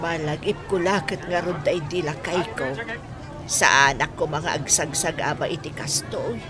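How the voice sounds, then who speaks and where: A woman's voice: sits low, moderate pace, a crowd in the background, background noise, natural voice. An actress playing a meek, long-suffering older mother in a radio drama, plaintive and pleading. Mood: weary, sad. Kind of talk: Ilocano radio drama acting.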